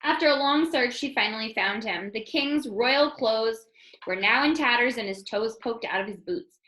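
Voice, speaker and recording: A woman reading a children's story aloud as an expressive narrator. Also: a little high, moderate pace. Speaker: storybook reader. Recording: roomy, echoing room sound.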